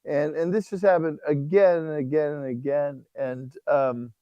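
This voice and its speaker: A mellow, fairly high male voice belonging to a priest who tells stories with real animation and laughs easily. The sound is dry and close. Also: steady tone, measured pace, smooth, no echo, highs cut off. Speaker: priest and guest author. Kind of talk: casual livestream conversation.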